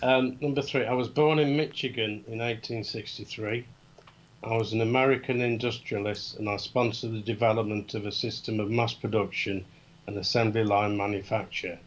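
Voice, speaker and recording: A man with a lowish voice reads quiz clues aloud in a steady, matter-of-fact way. His delivery is plain and unhurried, the way someone sounds working through a list. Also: highs cut off. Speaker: quiz guest. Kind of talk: reading quiz questions.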